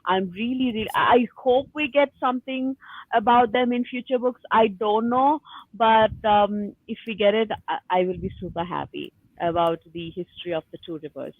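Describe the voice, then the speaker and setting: A woman's voice: mid-range voice, normal speed, dry recording. A woman talking casually and chattily as a panelist in a group book discussion. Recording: phone-like narrow-band call audio.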